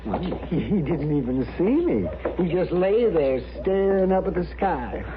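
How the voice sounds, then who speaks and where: High-voiced, chatty frontier doctor. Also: male voice, sing-song, measured pace. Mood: musing, amused. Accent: American. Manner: rambling, garrulous, musing. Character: chatty, dithering, folksy older frontier town doctor. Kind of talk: old-time radio western acting. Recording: muffled, noisy old radio broadcast.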